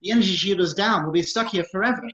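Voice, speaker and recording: A prim, anxious protocol droid, acted by a man, speaks in a fairly high voice at a brisk clip. The wording is formal and fretful, as in a film script read-through. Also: pushed and bright. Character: fussy, anxious, polite male protocol droid. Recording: dull lo-fi call audio.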